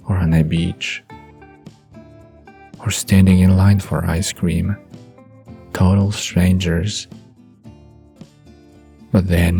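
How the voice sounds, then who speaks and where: An easygoing, amused young man, played by a male narrator in a bedtime audio drama. His voice is deep and gravelly, unhurried and intimate, with gentle guitar underneath. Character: Jimmy, amused, easygoing young man musing about fate. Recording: soft guitar music behind the voice.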